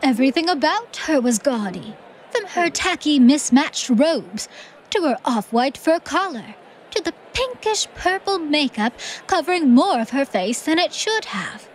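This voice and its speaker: A woman reading scripted story narration for a theatrical audiobook in an expressive, measured manner. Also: sits high, slight background noise, poor audio.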